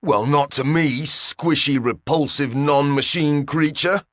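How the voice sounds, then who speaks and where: A man's voice: mid-range voice, very animated, moderate pace, a bit bright, no room sound, clean studio sound, voice pushed up. A man voicing a touchy little translator gadget that talks with a put-on foreign accent and takes offense easily. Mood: huffy. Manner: prickly, huffy, easily insulted.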